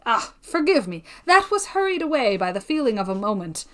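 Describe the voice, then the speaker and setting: A woman reading a storybook voices a devoted, cautious gentleman suitor who pleads in formal period speech. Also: fairly high pitch, sing-song, brisk. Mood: restrained longing.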